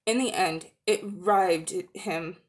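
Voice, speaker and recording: A woman narrating a children's story as a dramatic reading, expressive and deliberate. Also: mid-range voice. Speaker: audiobook narrator.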